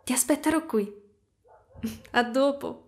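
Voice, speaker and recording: A woman voicing a gentle, doting spider-woman caretaker in a soft, cooing ASMR roleplay. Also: high-pitched, takes it slowly. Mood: tender. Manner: soft, cooing, tender, sometimes whispering.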